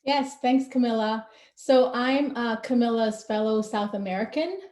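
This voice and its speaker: A woman from Guyana speaks in a friendly, relaxed manner at a middle pitch. Her tone is dark and mellow, and the echo of the room comes through the video-call mic. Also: unhurried. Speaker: communications manager. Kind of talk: online info session introduction. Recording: echoey room.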